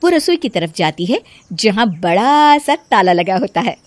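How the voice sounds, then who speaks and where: A woman's voice: medium pitch, sing-song, measured pace, no echo. A woman narrating a scripted family story for animation.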